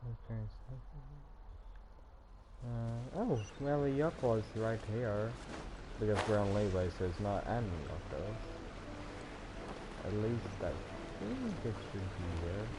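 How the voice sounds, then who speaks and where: A man's voice: on the low side, big pitch swings, a little slow, pauses often, very noisy. A man livestreaming a game with casual commentary and wry asides to himself. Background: game music.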